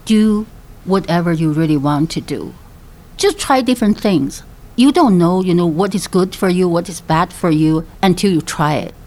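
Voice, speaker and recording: A woman in her fifties from China, speaking English with a Chinese accent as a second language, telling her story in a halting way with many fillers. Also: lowish voice, animated, darkish tone. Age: 50s. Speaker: interviewee, mother. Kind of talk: personal storytelling.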